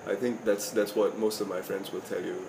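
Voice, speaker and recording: Flat-voiced young man in an echoey room. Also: lowish voice, fairly quick, vocal fry, background noise. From India. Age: young adult. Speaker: university student. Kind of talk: interview answer.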